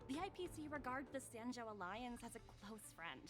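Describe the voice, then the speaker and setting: A woman's voice: fairly high pitch, expressive, a little fast, natural voice. A woman voicing a poised, smug young female corporate executive in a video game, polished and politely condescending. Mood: poised, probing. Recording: music behind the voice.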